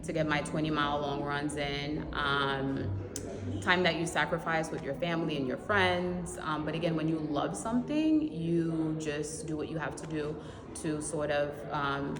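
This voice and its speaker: A woman from Haiti in her thirties, a marathon runner answering interview questions in an animated way, laughing often and repeating words for emphasis. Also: low voice, normal speed, a crowd in the background. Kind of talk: casual interview. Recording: echoey, reverberant with loud ambient noise.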